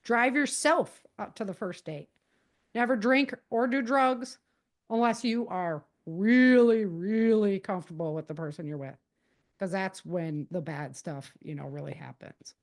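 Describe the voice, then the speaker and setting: A woman's voice: medium pitch, a little slow. A woman speaking as a podcast guest, giving practical advice in a casual, conversational way and explaining things with animation.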